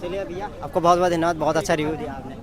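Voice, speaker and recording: A man's voice: a little high, very fast, crowd noise. A man working as an interviewer in an informal exam review, asking short, probing questions and acknowledging the answers. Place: outdoors, street among people. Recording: crowded outdoor street noise.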